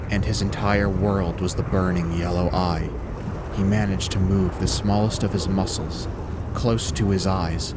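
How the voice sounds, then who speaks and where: A man with a deep voice narrates a horror tale over a clear bed of ambient noise. His delivery is deliberate and suspenseful, with dramatic pauses between phrases.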